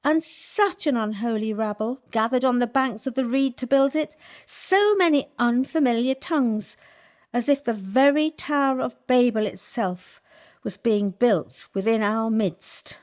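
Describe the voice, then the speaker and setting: A woman's voice: on the high side, measured pace, a little mellow, lightly acted. A woman playing a prim Victorian vicar's daughter who has taken charge of a village school, speaking formally and with disapproval, in a radio play. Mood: indignant. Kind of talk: period radio play acting.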